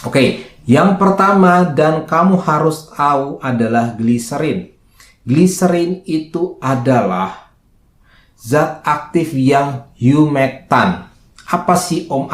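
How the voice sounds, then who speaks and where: A man with a mid-pitched, slightly hoarse voice talks in a roomy, echoing space. He explains things informally as a video host, often posing a question to himself and answering it. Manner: chatty, asks himself questions then answers them. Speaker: skincare educator and video host. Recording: roomy, echoing indoor sound.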